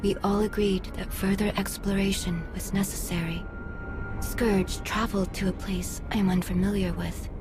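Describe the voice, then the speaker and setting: Actress as wise female mentor, mid-pitched, calm, formal, measured, game dialogue with clear music underneath. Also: natural voice. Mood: calm, reassuring. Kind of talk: video game dialogue acting. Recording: music behind the voice.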